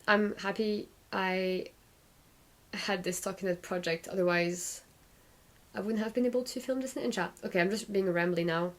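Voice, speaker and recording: A woman hosting a casual knitting podcast, rambling and restarting her sentences as she knits, her voice a little croaky. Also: medium pitch. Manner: rambling, self-correcting, chatty. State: slightly croaky voice. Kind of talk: casual knit-and-chat vlog.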